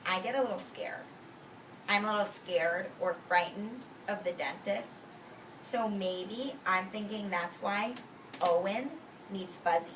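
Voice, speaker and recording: Female preschool teacher, bright and very lively delivery, read-aloud with simple explanations, recorded in a classroom. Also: mid-range voice. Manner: expressive, instructive, explains words simply and directs the children. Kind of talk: animated read-aloud to a class of young children. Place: classroom with young children. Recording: roomy, distant microphone, faint noise.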